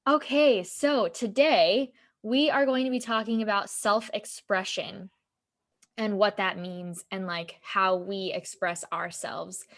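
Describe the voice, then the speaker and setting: Woman podcast host, mid-pitch voice, chatty with plenty of fillers. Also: a bit bright. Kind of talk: casual podcast chat.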